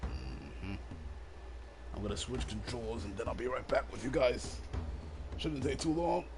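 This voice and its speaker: A man's voice: mid-range voice, measured pace, noisy background. A man streaming a video game, chatting casually with viewers, rambling and correcting himself. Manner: relaxed, rambling, with fillers and self-corrections. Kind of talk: casual livestream commentary.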